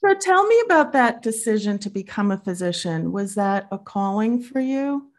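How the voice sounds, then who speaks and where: A woman's voice: mid-range voice, expressive, normal speed. A woman interviewing a guest, prompting with questions and frequent encouraging "mm-hmm"s. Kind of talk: conversational interview.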